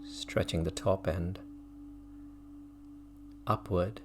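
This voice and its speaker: A man leading a guided mindfulness meditation as a teacher, speaking gently with long pauses between phrases. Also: low voice, steady tone, noisy background.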